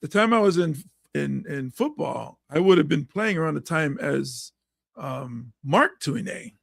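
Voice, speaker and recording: A middle-aged man with a raspy, gravelly voice, a bit hoarse, hosting a podcast chat. He talks in a lively way, acts out quotes, and laughs often. His pitch sits fairly high for a man, and the sound is dry and close, with little room echo. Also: a little slow. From Hawaii. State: hoarse from coaching. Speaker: podcast host and comedian.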